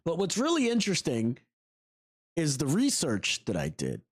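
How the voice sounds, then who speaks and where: A man's voice: fairly high pitch, huge pitch swings, normal speed, slightly rough. A man hosting a solo sports podcast while sick with a cold, sounding nasal and congested, posing rhetorical questions with frequent fillers. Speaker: podcast host.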